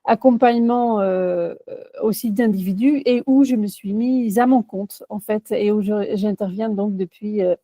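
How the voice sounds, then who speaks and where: A woman's voice: medium pitch, normal speed, warm tone, dry recording. A woman in her forties from the Netherlands with a Dutch accent, answering interview questions as a career coach, hesitant with many fillers and restarts.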